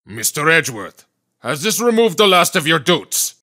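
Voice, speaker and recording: A man's voice: a little high, sing-song, moderate pace, sharp tone, heavily acted. A man voicing a solemn, puzzled elderly judge in a video game dub, speaking formally. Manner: formal, pronouncing. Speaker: fan dub voice actor.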